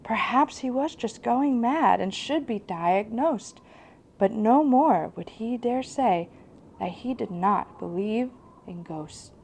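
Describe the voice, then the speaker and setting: Unhurried woman narrating a rhymed story. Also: a little high, lively intonation, gravelly, a little mellow, slight background noise. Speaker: host and narrator.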